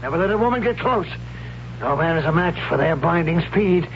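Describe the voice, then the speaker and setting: An actor playing a gruff older warrior and sword teacher in a 1970s radio drama, blunt and instructing. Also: male voice, a little high, lively intonation, fairly quick. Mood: brisk, instructing. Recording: dull, noisy old radio recording with hum.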